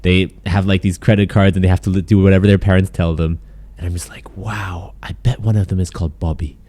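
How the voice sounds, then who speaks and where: A young man from Germany and the UK, a content creator, telling stories as a guest in a casual podcast interview. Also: deep voice. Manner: quick, animated storytelling with frequent "like".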